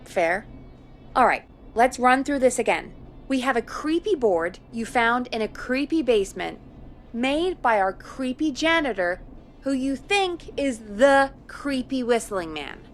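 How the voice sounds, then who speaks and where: An actress playing a brisk, sardonic female radio producer in a video game. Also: on the high side, animated, faint music behind.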